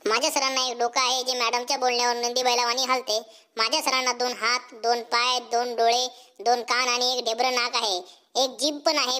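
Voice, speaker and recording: A man's voice: very high-pitched, sharp tone, slight background noise. A man voicing a bold, cheeky cartoon schoolboy with a rural Marathi accent and a blunt, folksy way of talking. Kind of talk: comic cartoon dialogue and mock school speech.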